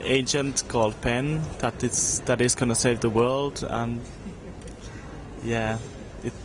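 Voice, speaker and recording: Young man from finland, relaxed, noise audible behind. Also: medium pitch, slow pace. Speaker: exchange student. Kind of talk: casual interview answers. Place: lounge at a game jam. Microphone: handheld mic held by interviewer.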